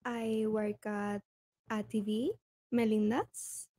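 A soft-spoken woman from Venezuela with a Venezuelan accent, a podcast guest chatting casually. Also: medium pitch, flat delivery, slow pace. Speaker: guest panelist. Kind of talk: casual chat.

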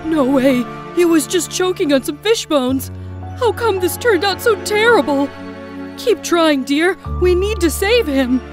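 A woman voicing a kindly, anxious housewife in an animated storybook cartoon. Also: very high-pitched, unhurried, over music. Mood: panicked. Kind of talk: cartoon voice acting.

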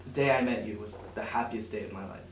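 A young man playing a heartfelt, lovesick teenage boy in a script read-through, halting and earnest. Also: lowish voice, fairly even intonation, natural voice. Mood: regretful, pleading. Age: young adult. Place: home dining room around a table. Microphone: far camera. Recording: roomy, distant mic, clear background noise.

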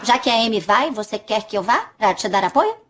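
A woman's voice: a little high, sing-song, brisk, fairly bright tone, slight background noise. A woman dubbing a breezy, playful young waitress in a Brazilian Portuguese TV dub, teasing and easygoing.

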